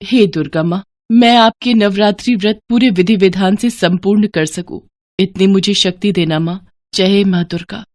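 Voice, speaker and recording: A woman voicing a kind, pious young daughter-in-law, gentle and earnest, in a moral story. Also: mid-range voice, moderate pace, lightly acted. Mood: devout pleading.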